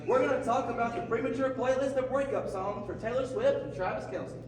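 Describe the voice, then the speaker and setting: Echoey hall. Man as hearty Southern comedy puppet host, very high voice, folksy talk-show banter. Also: a little fast. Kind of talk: scripted comedy talk show skit on stage. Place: large echoey hall, live performance. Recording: echoey hall from far off, crowd, noise.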